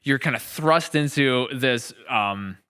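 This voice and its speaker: Male co-host for a chat podcast. Bright tone, playful, supportive of the other speaker, conversational. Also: mid-range voice, takes it slowly, smooth. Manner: jokey, affirming. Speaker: podcast co-host interviewing a colleague. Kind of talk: casual chat.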